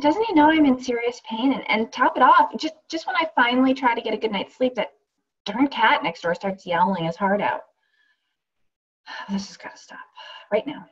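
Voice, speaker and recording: A woman's voice: a little high, breathy edge. A woman performing a monologue as a wry, housebound injured woman, sarcastic, exasperated and conversational. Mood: anxious, exasperated. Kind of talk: dramatic monologue reading. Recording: dull, lo-fi sound.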